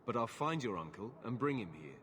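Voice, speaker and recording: An actor playing a stern, weary guard captain in a video game, with a curt, commanding manner. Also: male voice, mid-range voice, big pitch swings, brisk, fairly bright tone, a little hiss or hum. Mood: grudging thanks. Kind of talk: video game drama acting.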